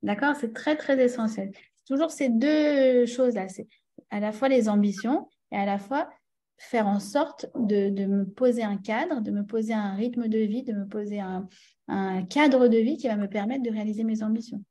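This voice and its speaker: A woman with a French accent leads a class in a casual, talkative way. Her voice sits a little high yet sounds warm and mellow. She stops often to check that her listeners follow. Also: normal speed. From France. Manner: chatty, many fillers and restarts, checks in with listeners. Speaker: religious teacher. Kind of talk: teaching a class.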